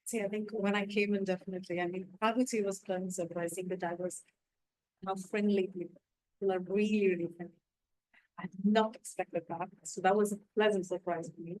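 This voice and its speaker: A woman's voice: medium pitch, fairly even intonation, fairly quick, a little mellow, no echo, rough recording. A woman from India speaking on a student panel, talking in a chatty way and often adding on to what others said. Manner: chatty, adds on to others with fillers like "definitely" and "you know". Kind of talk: casual panel discussion.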